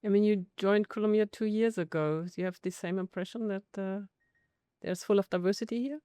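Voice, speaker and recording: Clear-voiced woman hosting a podcast. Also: mid-range voice, fairly even intonation, dark tone, dry recording, clean studio sound. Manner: measured, with pauses and fillers. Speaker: podcast host. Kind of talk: corporate podcast conversation.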